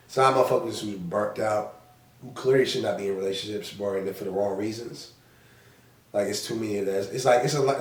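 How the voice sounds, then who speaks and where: A hoarse man chatting on a podcast as co-host, rambling, repeating himself and cursing freely. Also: lowish voice, reverberant, distant mic, poor audio. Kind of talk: casual podcast chat.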